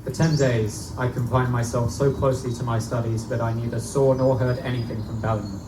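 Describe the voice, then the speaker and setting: A man's voice: medium pitch, steady tone, brisk, lightly acted. Man acting earnest young scholar, echoey distant recording. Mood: grave, measured. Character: Smith, earnest studious young male Oxford student recounting eerie events. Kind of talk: live dramatic reading of gothic pulp tale. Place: live stage reading in a bookstore. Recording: echoey live recording, distant mic, room noise.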